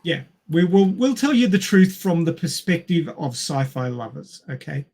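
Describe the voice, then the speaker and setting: A man co-hosting a casual film discussion, making his points emphatically in halting, restarted phrases. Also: a little high, sing-song, creaky, a little mellow. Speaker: podcast host.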